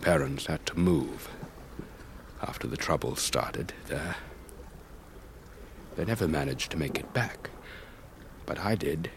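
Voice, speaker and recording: An actor playing an elderly English retired air force officer, telling his story in a measured, wistful, old-fashioned way for a radio drama. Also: very deep voice, lively intonation, heavily acted. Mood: wistful, reminiscing. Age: older. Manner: measured, old-fashioned, reflective, breathy trailing phrases. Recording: faint background noise.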